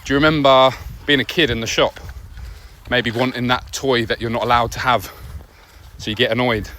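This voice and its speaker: A man giving an earnest, reflective podcast monologue on an outdoor walk, speaking conversationally in short phrases with pauses between them. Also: medium pitch, fairly even intonation, sharp tone. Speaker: podcast host. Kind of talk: reflective personal monologue while walking a dog. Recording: noisy outdoor recording with nature ambience.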